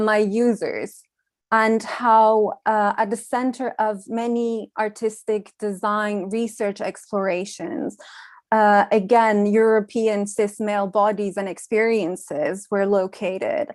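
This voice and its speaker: Young woman, mid-pitched, reflective panel speaker, deliberate with fillers, dry room. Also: steady tone, dry recording. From Iran. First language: Farsi. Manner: measured, thoughtful, with frequent ums and uhs. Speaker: panel speaker, design researcher. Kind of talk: reflective conference talk.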